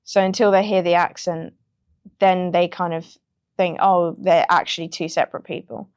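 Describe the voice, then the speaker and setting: A woman's voice: on the low side, moderate pace, dry recording, clean studio sound. A young British woman, a music producer, chatting casually in an interview with plenty of ums. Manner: relaxed, chatty, frequent ums and laughs.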